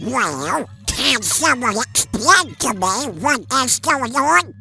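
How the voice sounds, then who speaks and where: A male cartoon duck superhero with a very bright, scratchy edge. He drawls his complaints slowly and sardonically. He is grumpy, creaky and quick to grumble. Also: medium pitch, sing-song, faint music behind, full character voice. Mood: exasperated, sarcastic. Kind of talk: comic dub voice acting.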